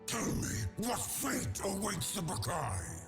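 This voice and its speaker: A man voicing a vengeful, bloodthirsty monster god in a video game, gravelly, theatrical and menacing. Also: high-pitched, wildly swooping pitch, slow, over music, exaggerated voice.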